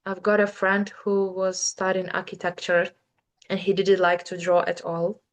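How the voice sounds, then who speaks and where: A woman's voice: on the low side, monotone, a little slow. Young Ukrainian woman, hesitant chat. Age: 20s. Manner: thoughtful, hesitant, many fillers and restarts. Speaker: guest. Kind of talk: casual interview chat.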